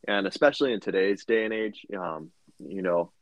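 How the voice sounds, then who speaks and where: A young man with a very low voice co-hosts a casual podcast. He gives earnest advice and fills his talk with ums and you-knows. The sound is close and dry. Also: moderate pace, no room sound, muffled recording. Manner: earnest advice, many fillers. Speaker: podcast co-host.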